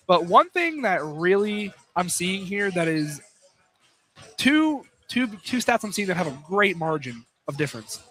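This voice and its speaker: Young man, high-pitched voice, American accent, play-by-play commentator, informal delivery, repeats and corrects himself. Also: fairly bright tone. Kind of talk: casual live sports commentary. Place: live courtside broadcast in a college field house.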